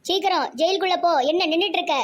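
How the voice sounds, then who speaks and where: A woman's voice: extremely high pitch, steady tone, racing. A woman voicing a bossy, scolding female in a comic cartoon, with a Tamil Nadu accent. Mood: stern, scolding. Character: bossy, scolding women: policewoman and village neighbours.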